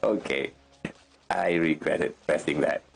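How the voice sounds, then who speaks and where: A man's voice: a bass voice, slow, vocal fry. A man doing informal, sweary gameplay reaction commentary as a streamer. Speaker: game streamer. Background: game music.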